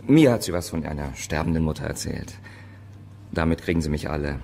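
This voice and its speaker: An actor playing a prickly, suspicious photographer, curt and evasive, in a German radio crime drama. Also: male voice, a bass voice, animated, fairly quick, gravelly, warm tone, heavily acted. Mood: dismissive. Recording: reverberant large room, background noise.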